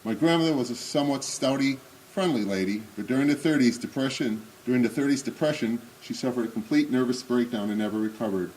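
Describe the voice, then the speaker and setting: A man in his sixties with a booming voice, giving an unscripted talk at a remembrance service with many pauses and fillers, then reading memoir excerpts aloud. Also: a little mellow, a little hiss or hum, rough recording. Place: synagogue hall. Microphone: speaking without a microphone.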